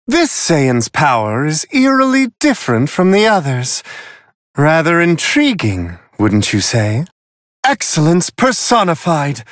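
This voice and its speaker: A man's voice: high voice, fairly bright tone, dry recording, clean studio sound, full character voice. A man voicing a refined, unflappable, amused celestial attendant in a video game, commentating on fights with prim, courtly, theatrical precision. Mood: curious, wry.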